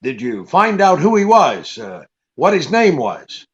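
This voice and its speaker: An actor playing a wry, tough-talking private detective in old-time radio style, with clipped slang. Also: male voice, fairly high pitch, wildly swooping pitch, a little slow. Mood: wry, guarded. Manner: clipped hardboiled slang, hesitant fillers. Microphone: video call. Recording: dull lo-fi video-call audio.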